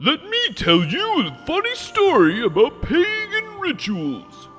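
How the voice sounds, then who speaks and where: A woman voicing a sleepy, naive, friendly little pine tree in a drawn-out storybook manner. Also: high-pitched, wildly swooping pitch, a bit bright, soft background music, full character voice. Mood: gently persistent. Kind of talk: dramatic storybook reading.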